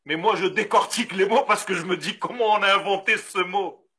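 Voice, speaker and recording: A man from Israel with an Israeli accent, a Hebrew native speaker giving a Torah lesson in French, animated and full of rhetorical questions. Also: high-pitched, fairly quick, slightly rough. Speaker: rabbi.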